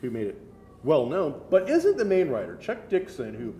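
A man's voice: on the high side, huge pitch swings, moderate pace, dark tone, muffled recording. Opinionated American man in a roomy space. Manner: opinionated, jokey. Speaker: co-host of a geek culture show. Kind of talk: casual opinionated panel chat. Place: indoor room set, seated around a table. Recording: roomy sound, faint noise.

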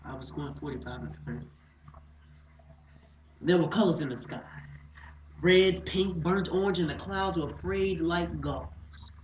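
A man performing a dramatic monologue as a guilt-haunted man in his thirties, halting and swinging between hushed and loud delivery. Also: on the high side, pitched above the performer's own voice, close to an everyday voice. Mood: tense recollection. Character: guilt-haunted thirty-two-year-old man confessing to killing his sister. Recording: echoey room with faint noise.

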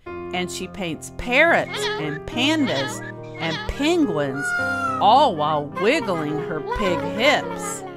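A woman reading a children's story aloud as a storyteller, expressive and carefully enunciated, drawing out key words. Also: medium pitch, slow pace. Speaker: storyteller, host. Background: music.

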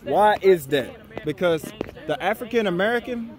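A man's voice: sits high, animated, moderate pace, a little mellow. A man interviewing at an outdoor street protest, lecturing emphatically about scripture with rhetorical questions. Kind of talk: street debate, scripture preaching. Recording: noisy protest crowd behind.

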